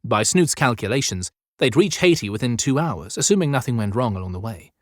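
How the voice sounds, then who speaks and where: A man narrating a fantasy audiobook as scripted storytelling, with measured, clearly phrased delivery. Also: mid-range voice, animated, darkish tone, dry recording, studio quality.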